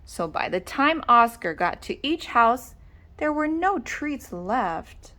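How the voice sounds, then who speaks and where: Slow, animated woman reading a storybook. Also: fairly high pitch. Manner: dramatic, deliberate storytelling. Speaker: children's storybook reader. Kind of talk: animated read-aloud narration.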